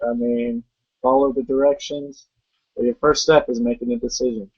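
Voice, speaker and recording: A man's voice: mid-range voice, lively intonation, moderate pace, no room sound. A young man in a middle register speaks earnestly, pressing his points with rhetorical questions. The recording is dull and muffled, with a dry, close sound. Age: young adult. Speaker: network marketing guest. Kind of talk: motivational talk.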